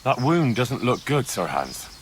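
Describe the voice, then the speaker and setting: A man's voice: medium pitch, very animated, measured pace, a little hiss or hum. A man playing a cheeky young peasant squire in a video game cutscene, dryly mock-humble toward his betters. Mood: concerned. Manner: dry, sardonic deference.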